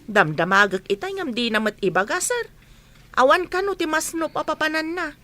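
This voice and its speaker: A woman's voice: high-pitched, expressive, moderate pace, sharp tone, soft background music. A woman playing a gossipy young housemaid in an Ilocano radio comedy drama, with an Ilocano accent. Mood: flustered, deferential.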